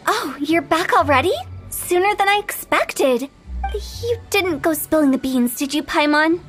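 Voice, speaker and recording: A woman's voice: high voice, animated, a little slow, a bit bright, soft background music. A woman playing a playful, eerie young funeral parlor director in a video game, teasing and theatrical.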